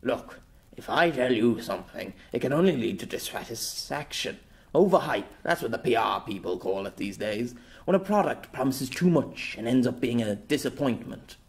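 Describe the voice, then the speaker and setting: A proper old former knight, formal and a little flustered, stumbling over his words when embarrassed, as a male narrator plays him. His voice moves lively through its range in a storybook reading. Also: medium pitch, moderate pace, creaky. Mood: explaining.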